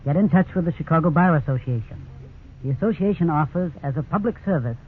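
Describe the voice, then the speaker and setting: A man who is a law school dean gives scripted commentary in a formal, advisory manner. His voice sits somewhat high for a man, and it comes through a thin, tinny old broadcast with a faint hiss.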